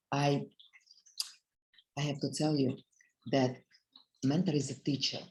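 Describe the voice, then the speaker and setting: A woman's voice: rumbling low, dark tone, a little hiss or hum, low-quality recording. Earnest middle-aged woman with a Russian accent. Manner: earnest, emotional, addresses audience directly. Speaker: motivational business speaker. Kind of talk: heartfelt motivational talk. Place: speaking on a video call. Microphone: video call.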